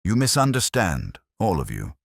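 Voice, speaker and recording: Imperious big detective played by a male narrator, bottomless low voice, formal and peremptory, audiobook crime story. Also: huge pitch swings, moderate pace, slightly rough, no echo, studio quality, heavily acted. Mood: stern. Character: commanding, imperious, heavyset male master detective.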